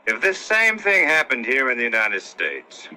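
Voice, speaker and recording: An earnest army sergeant. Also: male voice, mid-range voice, huge pitch swings, moderate pace, pushed and bright, full character voice. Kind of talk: 1960s war film acting. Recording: poor film clip played back.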